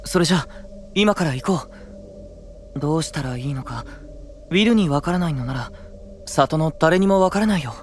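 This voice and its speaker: A man acts a caring young fantasy companion. His fairly high voice is gentle and soothing, with noticeable ambient sound around it. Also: animated, moderate pace, pauses often, a little hiss or hum, lightly acted. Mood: gentle, encouraging. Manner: gentle, reassuring, soft. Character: gentle, caring young male friend of a knight. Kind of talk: Japanese fantasy voice drama acting.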